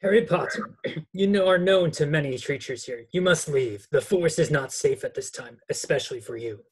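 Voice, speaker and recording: A man playing a solemn, cryptic centaur with a husky voice, reading in an amateur table read. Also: a little high, normal speed. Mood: grave, warning. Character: solemn male centaur, grave cryptic forest guardian.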